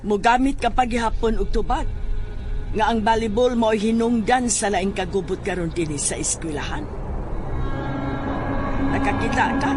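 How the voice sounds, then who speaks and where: A woman playing a stern, secretive mother in a horror audio drama, speaking deliberately and probingly. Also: mid-range voice, close to an everyday voice. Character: stern, secretive mother questioning her schoolgirl daughter.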